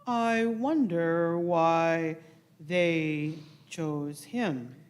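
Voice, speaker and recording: Performer doing alien delegate, aloof, suspicious, extremely slow drawn-out words, disdainful, sci-fi radio play. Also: mid-range voice.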